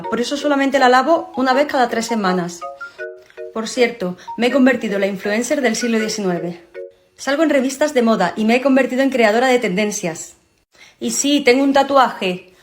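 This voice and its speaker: A woman's voice: on the high side, natural voice. A woman with an Andalusian accent playing a vain, fashionable 19th-century noblewoman who boasts about herself. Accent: Andalusian Spanish, Seville. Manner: boastful, self-satisfied. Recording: music playing behind the voice.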